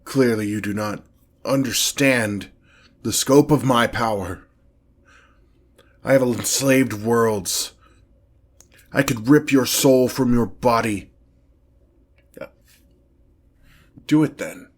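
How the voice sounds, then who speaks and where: A man's voice: fairly low pitch, steady tone. A man voicing a haughty, exasperated demon lord in a fantasy audio roleplay, speaking formally and pompously. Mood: menacing. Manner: pompous, formal, slow deliberate phrasing with irritated sighs. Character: haughty, exasperated male demon lord, grandiose but petty. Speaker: character performer in roleplay audio. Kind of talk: scripted ASMR fantasy roleplay, one-sided dialogue.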